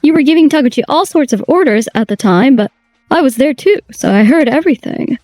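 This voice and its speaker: An actress playing a calm, authoritative company chairwoman in a manga dub. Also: high voice, brisk, dry recording, faint music behind. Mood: stern. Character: stern, composed female company chairwoman.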